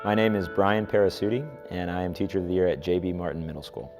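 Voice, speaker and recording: A man teacher introducing himself briskly and proudly. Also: low voice, fairly even intonation, music in the background. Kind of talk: interview statement.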